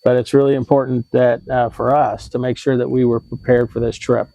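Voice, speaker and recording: A man with a mellow, easygoing voice gives a casual how-to explanation. He talks conversationally and a little haltingly. The sound is dry and slightly dull, with very little room on it. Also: mid-range voice, level tone, gravelly, dry recording. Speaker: vlog host giving RV tips.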